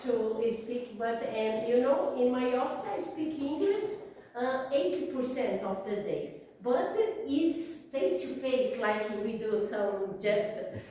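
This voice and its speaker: Slow-speaking Brazilian woman, echoey room. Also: on the high side, animated, mellow, distant mic. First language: Portuguese. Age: 40s. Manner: halting, searching for words, apologetic. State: has a cold. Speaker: guest speaker on immigration. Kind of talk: business talk to a live audience. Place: meeting room with an audience.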